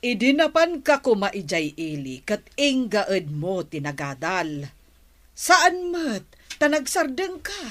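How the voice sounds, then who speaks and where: A woman's voice: mid-range voice, very animated, takes it slowly. An actress with a Filipino accent playing a scolding, exasperated mother in a radio drama. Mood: reproachful. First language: Ilocano.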